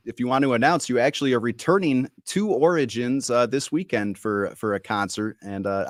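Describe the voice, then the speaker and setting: A man's voice: medium pitch, no echo. A man hosting a live interview program from a station studio. He reads a scripted welcome, then talks loosely with many fillers. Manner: friendly, many fillers and restarts when unscripted. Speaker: host.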